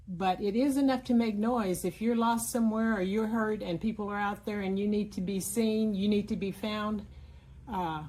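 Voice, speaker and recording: A woman giving a casual, explanatory product demonstration in plain words, often pausing mid-sentence. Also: mid-range voice, a little mellow, slight background noise, low-quality recording. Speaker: product reviewer.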